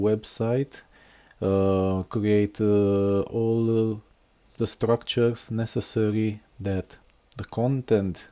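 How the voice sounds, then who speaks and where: A middle-aged man from Romania talks slowly through a screen walkthrough, pausing often and filling gaps with fillers. His voice is low and mellow. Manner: unscripted, hesitant, many fillers. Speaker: software engineer and blogger. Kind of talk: casual screen-recorded walkthrough.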